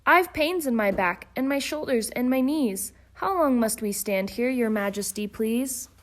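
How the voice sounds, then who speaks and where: A woman's voice: a little high, expressive, a little slow. A woman voicing a humble, weary little male turtle who pleads and groans. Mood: pleading.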